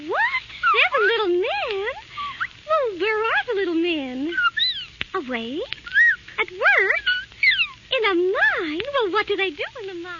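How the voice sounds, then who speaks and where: An actress playing a sweet, curious young fairy-tale princess in 1930s musical radio style. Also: female voice, extremely high pitch, wildly swooping pitch, slow, pauses often, very noisy, heavily acted. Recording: dull vintage radio broadcast.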